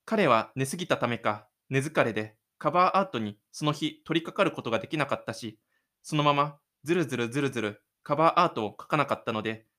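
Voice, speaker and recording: A man hosting his own audio drama show, narrating and chatting gently. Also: medium pitch. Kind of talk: audio drama narration and casual host chat.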